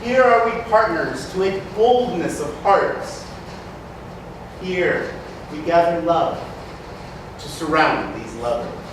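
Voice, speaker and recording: Man as a slow, reverent wedding poet. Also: fairly high pitch, lively intonation. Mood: reverent, lyrical. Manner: lyrical, measured, declamatory. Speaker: actor in a staged reading. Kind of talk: poetry recital on stage. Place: large community hall. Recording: echoey hall, distant mic, noisy.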